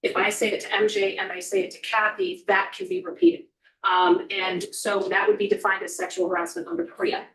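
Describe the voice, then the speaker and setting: Woman giving testimony, earnest and explanatory, gravelly voice, roomy hall, set back from the mic. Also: medium pitch, big pitch swings, a little fast, fairly bright tone, far from the mic, slight background noise. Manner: earnest, explanatory, many ums. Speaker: corrections official testifying. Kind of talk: committee testimony. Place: legislative hearing room. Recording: echoey hearing room, voice off-mic.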